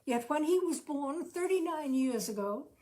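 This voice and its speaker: A woman narrating a literary short story expressively, with measured, careful phrasing. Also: high-pitched, expressive, darkish tone. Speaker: audiobook reader, storyteller.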